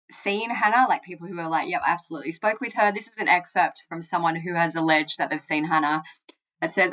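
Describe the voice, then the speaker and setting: A woman with a fairly low voice hosts a true crime podcast. She moves between reading material aloud and informal, loosely phrased commentary. The recording is a little dull and muffled. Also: fairly bright tone.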